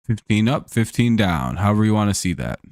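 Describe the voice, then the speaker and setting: A man's voice: lowish voice, sing-song, brisk, a little mellow, dry recording, clean studio sound. A man hosting a live stream, calling baseball plays casually and joking with viewers, his voice a bit worn from talking all day. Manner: laid-back, jokey, chats with viewers between plays. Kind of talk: casual play-by-play and chat.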